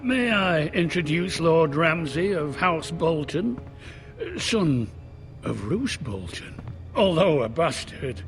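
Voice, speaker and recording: An actor playing a formal herald announcing a lord in a fantasy game, ceremonious and declamatory. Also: male voice, a little high, sing-song, takes it slowly, faint music behind, full character voice. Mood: pompous.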